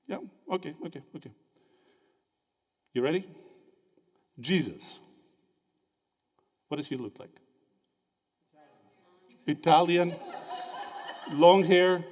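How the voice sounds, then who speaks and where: A man from Greece giving a lively, interactive theology lecture and quizzing his audience with quick questions. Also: fairly high pitch. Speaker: theology speaker at a symposium. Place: lecture hall. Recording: reverberant hall.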